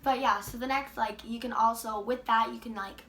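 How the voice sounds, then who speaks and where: A woman's voice: a little high, steady tone, moderate pace, fairly bright tone, a little hiss or hum. Teen girl with a cold, chatty. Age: teens. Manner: chatty, many filler "likes". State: has a cold. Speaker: co-host. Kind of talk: casual chat. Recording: echoey room.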